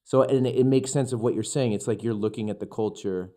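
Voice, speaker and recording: A man's voice: mid-range voice, steady tone, fast, dark tone. A man hosting a podcast, interviewing a guest and searching for his words as he asks. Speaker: podcast host.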